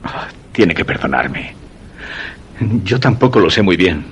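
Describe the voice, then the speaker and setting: An actor with a Spain accent playing a shy, obsessed man who tells his own suspense story in measured, literary radio-drama narration. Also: deep voice, big pitch swings, fairly bright tone, a little hiss or hum, exaggerated voice. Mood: hesitant, nervous. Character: Guillermo, shy obsessed man secretly following a young woman.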